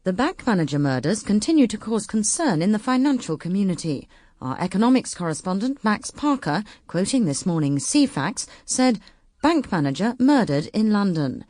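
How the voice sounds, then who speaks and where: A woman's voice: medium pitch, big pitch swings, moderate pace, darkish tone, no room sound, studio quality, lightly acted. An actress playing a composed female newsreader, formally reading bulletins in a satirical radio drama.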